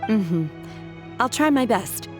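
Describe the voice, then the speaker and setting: A woman voicing a reserved, devoted young female disciple in a video game, calm, earnest and restrained. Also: fairly high pitch, takes it slowly, over loud music.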